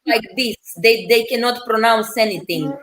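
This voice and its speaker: A woman's voice: fairly high pitch, normal speed, crowd noise, rough recording. A woman with a noticeable non-native accent, chatting bluntly in a casual panel discussion. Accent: non-native English.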